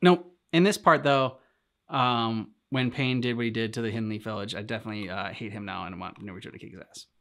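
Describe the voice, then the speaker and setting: A man co-hosting a reaction show, casually discussing a game's story, thinking aloud in halting short fragments. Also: fairly low pitch, fairly bright tone. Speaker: reaction channel co-host.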